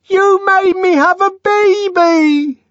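A shrill, trembling middle-aged woman begging to be remembered, as a male reader puts her on. Her voice rises high and wavers in despair. The sound is dry and a little dull. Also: big pitch swings, takes it slowly, dry recording, full character voice. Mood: desperate. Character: distraught faded middle-aged woman, pleading.

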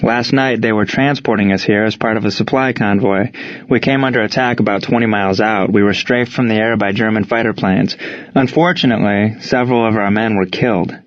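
A man's voice: fairly low pitch, fairly even intonation, brisk, no room sound, highs cut off, close to an everyday voice. Man acting a shaken, earnest army ballplayer. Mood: shaken, sober. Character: shaken, earnest male army baseball team leader.

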